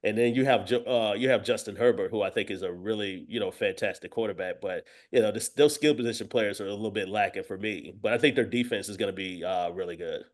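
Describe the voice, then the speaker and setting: A man's voice: lowish voice, quick pace, hardly any pauses. A man giving casual football analysis as a guest, emphatic, often repeating and rephrasing as he goes. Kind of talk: casual sports talk with analysis.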